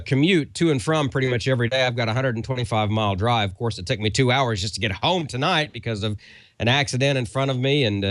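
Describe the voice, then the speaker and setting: A man's voice: mid-range voice, fairly bright tone, no room sound, studio quality. A man co-hosting a show, giving a casual, conversational sponsor read that rambles a little. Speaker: show co-host.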